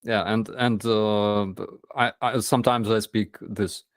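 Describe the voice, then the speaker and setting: Slow, halting man. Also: fairly low pitch, steady tone, no room sound. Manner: halting, many ums and restarts. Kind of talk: casual chat.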